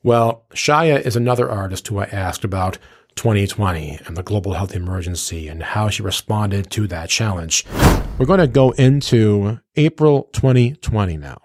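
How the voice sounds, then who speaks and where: A man's voice: fairly low pitch, moderate pace, darkish tone, no echo, studio quality. A man from New England hosting a radio interview, with polished, formal announcer phrasing and some slang mixed in. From United States, New England.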